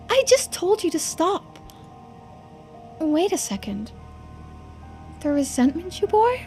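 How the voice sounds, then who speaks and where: Woman as immortal princess, high voice, blunt, exasperated, indignant, video game character, faint music. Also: sing-song, slow, a little mellow, exaggerated voice. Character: long-suffering immortal princess, young-sounding, indignant, blunt.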